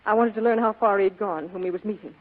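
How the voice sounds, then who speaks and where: A woman's voice: mid-range voice, fast, close to an everyday voice. A woman playing a secretive, pleading femme fatale in a 1940s radio drama, with dramatic delivery. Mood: guarded. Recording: tinny, narrow old radio broadcast.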